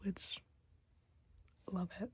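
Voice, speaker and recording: A young woman giving a casual, chatty recap of a TV episode in a soft whisper, close to the mic, with lots of fillers. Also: very slow, no echo.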